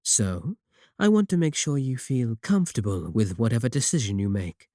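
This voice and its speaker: A man playing a courteous, manipulative warlock shopkeeper in a roleplay audio, coaxing and flattering his guest with polite, unhurried talk. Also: medium pitch, no room sound, clean studio sound, lightly acted. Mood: smooth, persuasive. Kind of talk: second-person roleplay audio acting.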